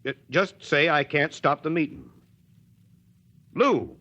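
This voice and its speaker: An actor playing a principled, weary small-town Texas mayor in a folksy, drily ironic American manner, in 1940s radio drama style. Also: male voice, fairly high pitch, very animated, takes it slowly, bright, forceful tone, exaggerated voice. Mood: resigned, tense. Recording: dull, lo-fi 1940s radio recording.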